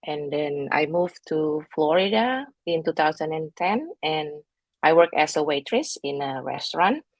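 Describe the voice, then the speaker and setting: A woman's voice: low voice, lively intonation, a little slow, no echo. A woman in her forties from Bali, Indonesia, introducing herself hesitantly on a video call. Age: 40s. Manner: hesitant, with fillers. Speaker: panel guest. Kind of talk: self-introduction.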